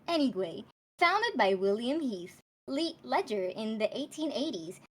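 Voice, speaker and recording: Female actor as comedy tour guide: fairly high, animated, chirpy yet stubborn and dismissive. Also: husky, full character voice. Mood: testy, defensive. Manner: brisk presenter patter, dismissive. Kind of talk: live table read of a comedy radio show.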